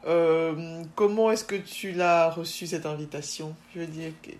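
A woman hosting a podcast interview, asking questions in a relaxed, encouraging way with many fillers. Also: low-pitched, measured pace. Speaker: podcast host.

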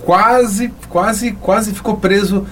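A man's voice: sits high, fairly even intonation, slow pace, a bit bright, a little hiss or hum. A man with a Brazilian accent interviewing a guest casually as the host of a show.

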